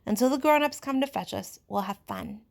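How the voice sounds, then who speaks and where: A woman's voice: mid-range voice, wildly swooping pitch, brisk, creaky, darkish tone. A woman voicing an earnest, fair-minded schoolboy leader in a storybook, with emphatic speech-making. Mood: upbeat, reassuring. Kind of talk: storybook character voice.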